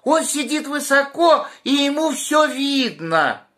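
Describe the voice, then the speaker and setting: A man voicing a small, curious boy hedgehog in a children's story, with a high, eager voice. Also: very animated, takes it slowly, fairly bright tone, full character voice. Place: small room at home, indoors.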